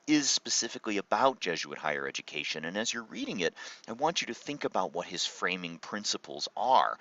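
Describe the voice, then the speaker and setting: Man, lecturer, very lively intonation, crisp forceful tone, rhetorical questions, explanatory style, slightly muffled recording. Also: deep voice. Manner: rhetorical questions, emphatic stress on key words. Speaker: university lecturer.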